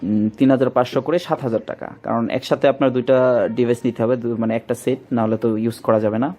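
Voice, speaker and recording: A man's voice: mid-range voice, steady tone, very fast, warm tone. A man with a Bangladeshi accent giving an informal product demonstration as a shop presenter, repeating himself and searching for words. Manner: repetitive, searching for words with filler placeholders. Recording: faint background noise.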